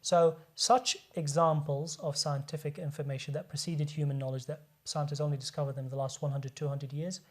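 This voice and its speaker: A man's voice: fairly high pitch, flat delivery, brisk, hardly any pauses. A man who works as a doctor, speaking as a guest in a studio discussion and explaining his points earnestly, often repeating words as he restarts a sentence.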